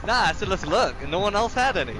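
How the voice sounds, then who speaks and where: A man's voice: high voice, big pitch swings, fast talker, low-quality recording. A young man bantering loosely and profanely with friends while playing an online game. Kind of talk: casual gaming chat. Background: game music.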